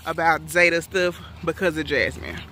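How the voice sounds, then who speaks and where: A woman's voice: sits low, moderate pace, noisy background. A young woman chatting playfully to her viewers while vlogging on a college campus, filming on a phone at arm's length outdoors. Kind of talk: casual vlog chat. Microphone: phone camera held at arm's length.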